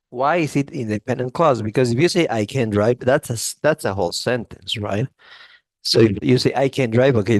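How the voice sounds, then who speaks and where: A man's voice: mid-range voice, a little mellow, no room sound. A male English teacher giving a classroom grammar lesson, often checking in with "okay?" and restarting phrases.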